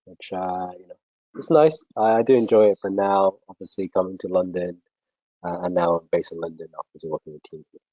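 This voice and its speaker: A man's voice: low voice, brisk, mellow, dry recording, lo-fi sound. A man from China introducing himself on a webinar, easygoing and a little hesitant. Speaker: panelist. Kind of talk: casual webinar chat.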